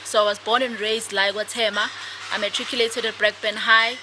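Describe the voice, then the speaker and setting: Soft-spoken young woman on a noisy podcast. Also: mid-range voice, flat delivery, fairly quick. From South Africa. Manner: soft-spoken, hesitant with fillers. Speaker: guest, author and speaker. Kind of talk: casual interview answers.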